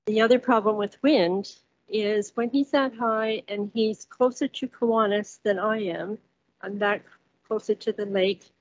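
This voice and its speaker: A woman resident giving public comment at a council hearing, reading hesitantly from notes with many pauses. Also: medium pitch, no room sound. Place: council chamber, standing at podium. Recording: dull muffled council chamber recording.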